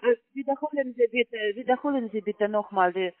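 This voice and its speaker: A woman's voice: on the high side, brisk, dry recording. A woman working as a call center agent on a phone call, talking in broken grammar with constant hesitant fillers. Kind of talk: phone sales pitch. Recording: tinny dry phone line.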